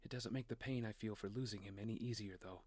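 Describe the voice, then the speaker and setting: A man's voice: fairly low pitch, fast, a crowd in the background, lightly acted. An actor playing an earnest family man and father in an audio drama, with a conversational manner. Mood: subdued, reflective.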